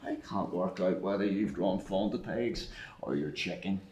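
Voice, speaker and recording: A man's voice: deep voice, normal speed, a little mellow, lightly acted. A man playing a vengeful, brutal paramilitary thug, menacing and taunting, in a screenplay table read. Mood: defiant. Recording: echoey room.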